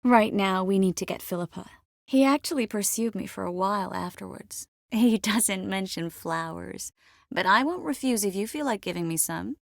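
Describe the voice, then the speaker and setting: A woman voicing a witty, caring, self-assured young sorceress in a video game, often dropping to soft, intimate murmurs. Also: medium pitch, animated, normal speed, gravelly, dry recording, studio quality. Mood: conversational, composed. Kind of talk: scripted game character dialogue. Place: close studio recording.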